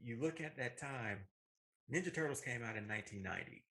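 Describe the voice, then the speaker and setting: American man, 30s, movie show co-host. Bright, punchy voice, emphatic opinions, casual and enthusiastic delivery. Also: mid-range voice, lively intonation, a little fast.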